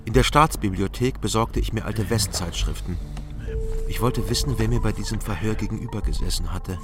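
An actor playing a dogged, sceptical East Berlin investigator in a radio crime drama, with a dry, laconic, sardonic manner. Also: male voice, on the low side, brisk, over music, natural voice. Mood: reflective narrating. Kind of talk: German radio crime drama, dialogue and first-person narration.

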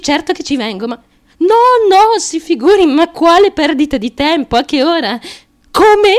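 An actress playing an insecure, eager-to-please young woman chasing a performing career in a radio theatre monologue, halting and stammering with nervous laughter. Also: high-pitched. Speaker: stage actress.

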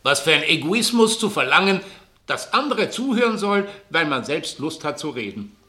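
A querulous, miserly old innkeeper. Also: male voice, on the high side, very animated, normal speed, pushed and bright. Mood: scornful. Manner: theatrical, exclamatory, querulous. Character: grumbling miserly old innkeeper, suspicious and self-pitying. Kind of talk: 1960 German radio play acting. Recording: vintage 1960 radio drama recording.

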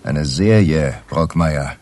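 An actor playing a pushy newspaper reporter, emphatic and insistent, in a naturalistic radio crime play. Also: male voice, rumbling low, slow, darkish tone, exaggerated voice. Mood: eager, insistent. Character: pushy male newspaper reporter hungry for a story.

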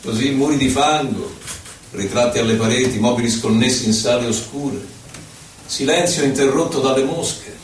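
An actor playing a melancholy, haunted poet who recalls his life in solemn, deliberate theatrical verse with dramatic pauses. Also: medium pitch, a little hiss or hum, natural voice. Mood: somber, grieving. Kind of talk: theatrical poetry recitation. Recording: echoey hall from far off, faint music. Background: faint music, violin.

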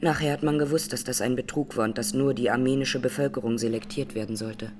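An actress playing an Armenian woman in her twenties, a massacre survivor giving measured, sober testimony in a radio drama. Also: extremely low pitch, steady tone, noisy background. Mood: grave, sober. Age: 20s.

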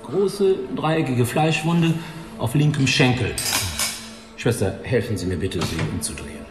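An actor playing an old pathologist in a radio drama, dictating in a clinical, detached and deliberate manner. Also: male voice, mid-range voice, animated, over music, lightly acted. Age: older. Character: tall old male pathologist, clinical, cigar-smoking, matter-of-fact. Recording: echoey hall with long reverb.

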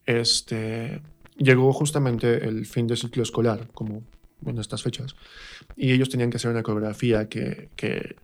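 A man from Mexico with a Mexican accent, telling anecdotes reflectively as an interview guest and often pausing to search for words. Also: medium pitch, level tone. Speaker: interview guest, performer and drama teacher. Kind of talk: conversational anecdote in a radio interview.

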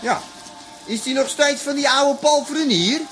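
An ageing, cocky punk in a radio comedy. He drawls slowly and bluntly in a high, bright voice, nostalgic for his wild days. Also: faint music behind. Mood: casual. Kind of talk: Dutch radio comedy drama acting.

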